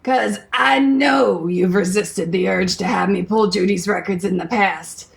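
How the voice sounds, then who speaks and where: Woman playing a creaky-voiced, streetwise police-records insider. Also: mid-range voice, a little slow. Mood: wry, hesitant. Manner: folksy, blunt, streetwise. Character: streetwise, wary female records insider, wry and tough. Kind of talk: radio play acting.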